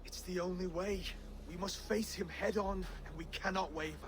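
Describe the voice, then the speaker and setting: Young Saxon king male actor, game cutscene: high voice, resolute, formal, noisy ambience behind. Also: steady tone, moderate pace, close to an everyday voice. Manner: formal, declarative, solemn speech. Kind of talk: dramatic video game cutscene acting.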